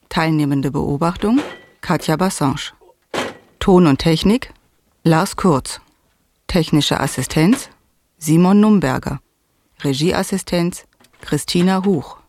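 Guy doing scripted narration, voice a bit on the high side. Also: a little mellow, no echo.

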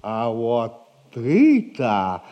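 An actor playing a crafty old retired soldier in a 1960s stage comedy, folksy, whining and wheedling. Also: male voice, medium pitch, huge pitch swings, drawn-out words, heavily acted. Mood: haggling, stubborn. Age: older. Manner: folksy, wheedling, theatrical delivery. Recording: dull 1960s audio play recording.

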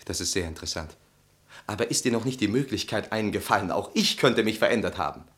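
Resentful, brooding husband with wide-swinging pitch. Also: male voice, animated, quick pace, fairly bright tone. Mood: defiant. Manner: intense, articulate, theatrical delivery. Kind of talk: German literary radio play acting.

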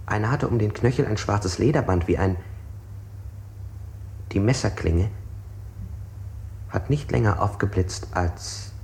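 An actor playing an interrogated man who evasively recounts oddly precise details in measured, literary phrases, in a 1960s German radio play. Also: lowish voice, vocal fry, a little mellow, a little hiss or hum, low-quality recording. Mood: halting, precise.

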